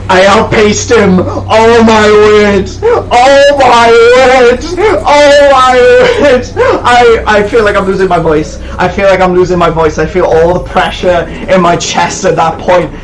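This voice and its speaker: Slow-talking nervous gameplay host. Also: male voice, high-pitched, rough recording. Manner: nervous, muttering to himself, bursts of excitement. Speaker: let's play host. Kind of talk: live gameplay commentary.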